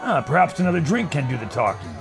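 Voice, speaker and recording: A man's voice: fairly high pitch, sing-song, fast talker, creaky, over music. An actor playing a brash, folksy, confident adventurer leading a party in a pulp radio adventure, hearty and persuasive as a salesman. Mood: coaxing. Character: brash, jolly, confident male adventurer and leader.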